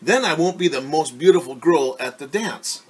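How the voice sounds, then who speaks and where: A man's voice: fairly high pitch, expressive, normal speed, husky. A man voicing a vain, whiny young girl in a storybook, pleading and protesting insistently. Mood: haughty.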